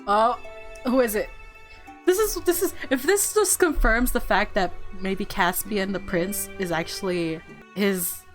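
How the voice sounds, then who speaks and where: A woman streaming a game, reading its story aloud and reacting with casual, excited commentary as she thinks aloud. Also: medium pitch, a little slow, soft background music.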